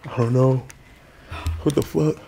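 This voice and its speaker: Guy creeping round an old factory at night, cursing and jumpy, mid-pitch, lots of hiss. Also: steady tone, measured pace, vocal fry, warm tone. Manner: jumpy, swearing, slangy. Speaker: vlogger exploring a haunted building. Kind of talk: casual exploration chat. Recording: noisy handheld camera audio.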